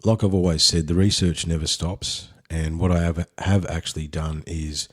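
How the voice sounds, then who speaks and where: Australian male host, husky and a bit under the weather, casual solo intro, very deep tone. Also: steady tone, normal speed. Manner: laid-back, candid, chatty. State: unwell. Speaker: podcast host.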